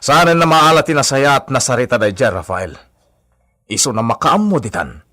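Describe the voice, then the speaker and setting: An actor playing a scheming, swaggering brother in a melodramatic Philippine radio drama, with a taunting, exaggerated delivery. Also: male voice, medium pitch, very animated, normal speed, pushed and bright. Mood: scheming, demanding. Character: theatrical, scheming twin brother with a swaggering temper.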